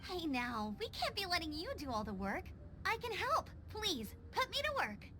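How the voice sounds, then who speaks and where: Bubbly girl adventurer for a game: girlish, extremely high, very animated, unhurried, music underneath. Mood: eager. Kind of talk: video game cutscene voice acting.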